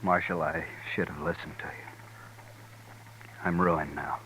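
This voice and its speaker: Slow, deep, broken banker. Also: male voice, steady tone. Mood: hopeless despair. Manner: defeated, halting, subdued. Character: ruined, despairing small-town frontier banker, family man. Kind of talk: 1950s radio western acting. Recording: noisy 1950s radio recording.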